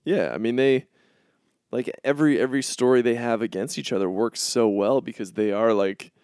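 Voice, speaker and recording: Gravelly young man chatting. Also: medium pitch, darkish tone, no room sound, clean studio sound. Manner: halting, restarts often, laughs easily. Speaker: podcast co-host. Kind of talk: casual chat.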